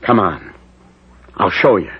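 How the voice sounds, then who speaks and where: An actor playing a desperate, gullible husband in a 1970s radio drama, pleading and insistent in an agitated way. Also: male voice, low-pitched, big pitch swings, slow pace, vocal fry, breathy edge, exaggerated voice. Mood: earnest, worried. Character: desperate, gullible working-class husband wrongly suspected of bribery. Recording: dull, noisy vintage radio broadcast.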